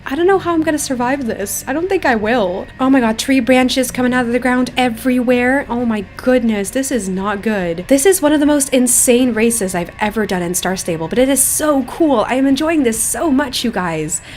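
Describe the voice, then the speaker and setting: A woman's voice: fairly high pitch, expressive, moderate pace, hardly any pauses. A young woman giving enthusiastic, gushing commentary as she plays a video game. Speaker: gaming video creator, host. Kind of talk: gameplay commentary, reading story dialogue aloud. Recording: music behind the voice.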